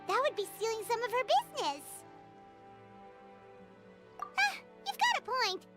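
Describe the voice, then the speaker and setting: Game role: tiny bubbly fairy sidekick, extremely high squeaky voice, swooping delivery, music behind. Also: female voice, sing-song, a little slow, fairly bright tone, full character voice. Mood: excited. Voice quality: squeaky, childlike. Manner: exclamatory, refers to self in third person. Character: small childlike fairy companion, bubbly, excitable, cheeky. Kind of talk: animated video game voice acting.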